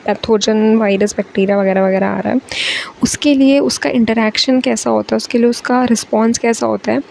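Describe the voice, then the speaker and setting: A young woman with a sore throat, teaching a chatty exam-prep biology lecture, switching between Hindi and English and slowing down to read English lines. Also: fairly high pitch, steady tone, creaky, darkish tone, slight background noise.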